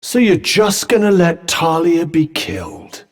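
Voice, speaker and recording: A wry sounding magic bracelet. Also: male voice, a little high, wildly swooping pitch, measured pace, soft background music, full character voice. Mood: sardonic. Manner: wry, sarcastic, clipped formal phrasing. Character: sardonic talking magic bracelet, male, dry-witted. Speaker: game character voice actor. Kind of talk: video game voice lines.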